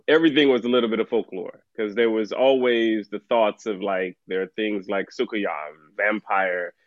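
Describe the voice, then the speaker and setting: Low-voiced middle-aged man telling stories. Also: dry recording, highs cut off. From Trinidad. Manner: relaxed storytelling, laughs often. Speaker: author guest. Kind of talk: casual podcast conversation.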